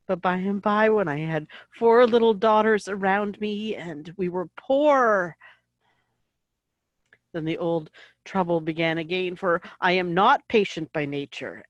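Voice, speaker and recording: A woman acting a gentle, devout middle-aged mother in an audio play, giving tender, measured counsel. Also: mid-range voice, no echo, highs cut off, natural voice.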